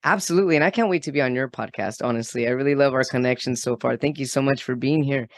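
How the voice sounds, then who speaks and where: A man's voice: mid-range voice, quick pace, dry recording. A man hosting a motivational podcast, enthusiastic and affirming as he welcomes and questions his guest. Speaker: podcast host.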